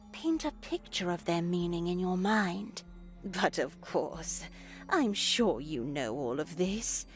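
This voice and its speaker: An older nun preaches with formal, unhurried diction. She is grandly pious and a little too zealous, like a horror game's holy matron. Faint music plays behind her. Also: fairly low pitch. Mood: instructive, pious. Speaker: voice actor in a visual novel. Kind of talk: dramatic video game acting.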